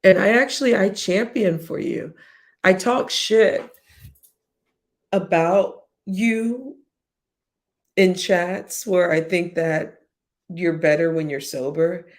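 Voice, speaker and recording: Blunt, chatty woman with a gravelly voice. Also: on the low side, takes it slowly, long pauses. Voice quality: whiny-sounding voice. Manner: blunt, profane, chatty, addresses chat directly. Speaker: YouTube live streamer. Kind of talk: casual commentary livestream.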